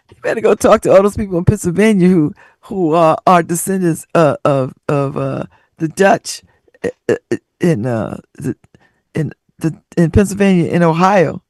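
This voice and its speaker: Lively, laughing woman talk-show host. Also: fairly low pitch, normal speed, no room sound. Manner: laughs often, animated and sassy asides. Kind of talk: reading out and riffing on listener stories.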